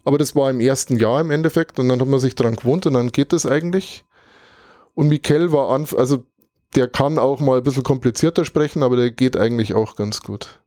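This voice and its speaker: A German man talks in a loose, conversational way. He recounts things thoughtfully, rambles a little and gropes for the right word mid-sentence. His voice is dark and mellow, and the sound is dry and close with no room around it. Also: mid-range voice, brisk, no echo. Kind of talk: casual conversational recap.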